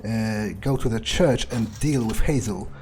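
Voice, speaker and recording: A man doing in-character gameplay commentary, thinking aloud in a halting, profane, sardonic mutter with a husky voice. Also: on the low side, darkish tone. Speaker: gamer role-playing his character.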